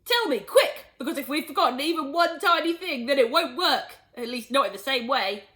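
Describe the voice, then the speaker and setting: Woman putting on an excitable father, wildly swooping voice. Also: sits high, brisk, voice pushed up. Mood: urgent. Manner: exclaiming, gushing, urging. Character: excitable, impatient, booming father.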